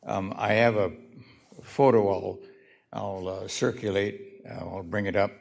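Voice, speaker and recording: Slow gravelly guy at a city meeting mic, kinda low, halting and pointed. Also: fairly even intonation. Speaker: resident giving public comment. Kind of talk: public comment at a city meeting. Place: city council chamber.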